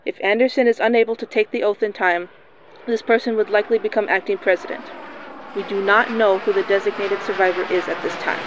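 A woman playing a radio newscaster, reading breaking news in a steady, formal manner. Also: mid-range voice, brisk, noisy background, natural voice. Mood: urgent. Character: composed female radio newscaster. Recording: dull lo-fi sound.

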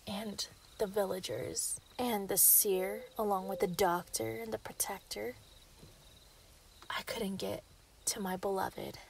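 A woman's voice: medium pitch, gravelly, a little hiss or hum. A woman playing an obsessive, lovestruck young female werewolf in a yandere ASMR roleplay, menacing and possessive. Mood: cold, menacing.

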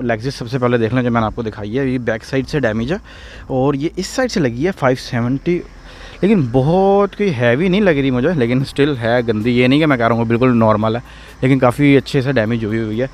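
एक पाकिस्तानी उर्दू लहजे वाला आदमी, खुले कार नीलामी यार्ड में हाथ के फ़ोन कैमरे से व्लॉग बनाते हुए, बातूनी और उत्साही अंदाज़ में।. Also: male voice, mid-range voice, quick pace. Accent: Pakistani Urdu. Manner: chatty and excitable, exclaiming over cars. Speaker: vlogger touring a car auction yard. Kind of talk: casual walk-and-talk vlog. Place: outdoor car auction lot. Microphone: handheld phone camera.

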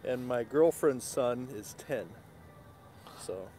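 A man's voice: mid-range voice, expressive, slow pace, warm tone. Easygoing man chatting on a livestream. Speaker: guest on a livestream. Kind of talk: casual chat. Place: outdoor parking lot at night. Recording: faint outdoor background noise.

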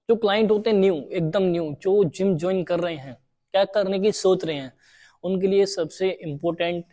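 A man's voice: sits high, dark tone. A young man giving gym tips to the camera, repeating key points for beginners, recorded in a large indoor gym. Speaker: gym trainer.

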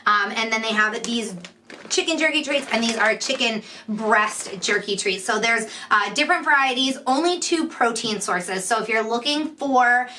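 A woman's voice: fairly high pitch, normal speed, pushed and bright, a little hiss or hum. A woman, giving an informal product review to camera. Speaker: pet product reviewer and host.

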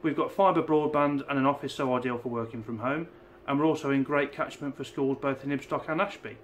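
A man's voice: medium pitch, a little fast, slight background noise. Man giving a walking property tour. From England. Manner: steady, informative, pointing things out as he walks. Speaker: estate agent. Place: walking through a house and garden.

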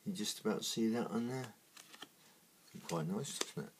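A man with a croaky morning voice, talking through opening trading card packs in a slow, halting way with many fillers. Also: lowish voice, animated, background noise. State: croaky, just woken up. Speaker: trading card unboxing host. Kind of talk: casual unboxing commentary.

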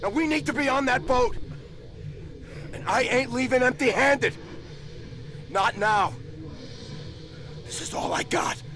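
An actor playing a weary, hardened criminal in a video game cutscene, terse and urgently persuading, with music behind him. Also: male voice, extremely high pitch, a little slow, long pauses, sharp tone, a little hiss or hum, full character voice. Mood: insistent. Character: weary, hardened male criminal, grimly insistent father.

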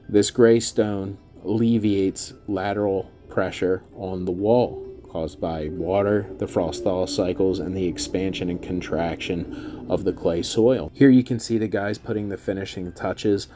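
A man's voice: low voice, steady tone, measured pace, dark tone, faint music behind. A man giving scripted instructional narration as a contractor, in a matter-of-fact, technical way. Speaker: contractor narrating company's repair work.

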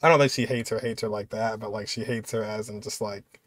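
A man's voice: on the low side, fairly even intonation, a little fast. Casual young man reacting. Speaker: reaction video host.

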